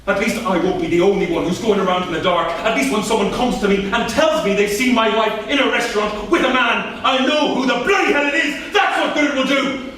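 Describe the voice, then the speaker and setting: A man's voice: high-pitched, brisk, few pauses, fairly bright tone. An actor in a staged play reading, playing a domineering, volatile husband and businessman with an emphatic delivery. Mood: furious, threatening. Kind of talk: staged reading, theatrical dialogue. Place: indoor theatre at a staged reading. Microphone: distant room microphone. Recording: distant, very echoey theatre room, faint noise.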